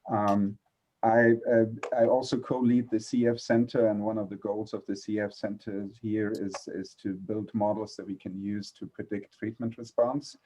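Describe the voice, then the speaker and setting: A man's voice: on the low side, flat delivery, normal speed, warm tone, slight background noise, low-quality recording. A middle-aged man with a German accent, a panelist introducing himself on a video call, hesitant with many fillers and restarts. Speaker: panelist, pediatric respirologist.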